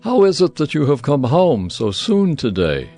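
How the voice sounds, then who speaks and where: A man's voice: a little high, big pitch swings, moderate pace, mellow, soft background music. An actor playing an emphatic, questioning father and priest in an audio Bible drama. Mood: surprised, insistent. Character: father priest of desert daughters, brisk and questioning.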